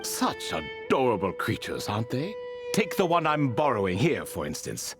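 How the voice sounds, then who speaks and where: An actor playing an ancient, wry and refined spirit who tells stories in a proud, wistful way in a video game. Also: male voice, medium pitch, huge pitch swings, normal speed, music in the background. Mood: wry, courtly. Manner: theatrical, refined, leisurely storytelling. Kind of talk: video game character dialogue.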